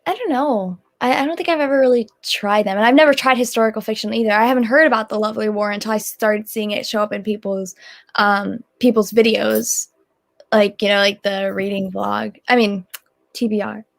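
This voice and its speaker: A woman chatting easily as co-host of a book livestream, agreeable and relaxed. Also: medium pitch, a little fast, creaky. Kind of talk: casual chat.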